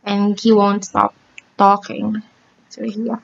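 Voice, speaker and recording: A woman with a Filipino accent chats casually and teases her co-host playfully. Her voice sits at a middle pitch. The recording is muffled and lo-fi. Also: monotone, slow pace, vocal fry. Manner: teasing, playful. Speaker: podcast co-host; teacher. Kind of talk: casual chat.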